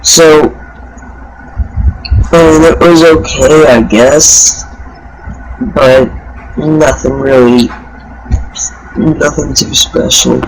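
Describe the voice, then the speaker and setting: A man on a casual panel giving blunt, halting opinions. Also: medium pitch, very animated, slight background noise, poor audio. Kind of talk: casual chat.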